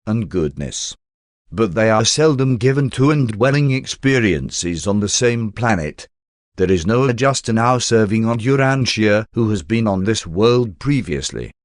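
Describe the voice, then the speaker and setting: A man reading a spiritual text as an audiobook narrator in a formal, measured and solemn manner. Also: mid-range voice, dry recording, studio quality.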